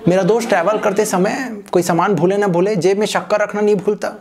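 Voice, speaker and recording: A young man doing a stand-up comedy set on a small stage, telling an animated story and acting out other people's voices. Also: high-pitched, a little fast, gravelly, crowd noise. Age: young adult. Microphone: handheld mic. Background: audience laughter.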